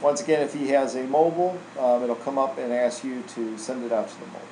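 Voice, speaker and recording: A man walking through software in an informal, casual tutorial style, like a trainer showing someone the steps. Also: medium pitch, fairly even intonation, quick pace. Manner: casual, informal, tags statements with "right?". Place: indoor room, screen recording voice-over. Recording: roomy echo, faint air-conditioning hum.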